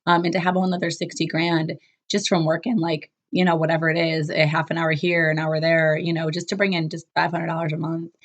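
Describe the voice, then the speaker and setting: A woman with a cold that makes her voice sound lower and rough, giving a chatty, upbeat recap as a business coach with plenty of fillers and restarts. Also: little pitch movement, a little fast, lo-fi sound. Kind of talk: casual solo recap talk to camera.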